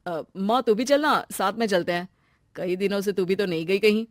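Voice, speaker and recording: A woman plays a young married son in a family storybook tale. He is easygoing and a little teasing, reasoning casually at a middling pitch. The sound is dry. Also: moderate pace, dry recording, soft background music, close to an everyday voice. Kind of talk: family moral-story acting.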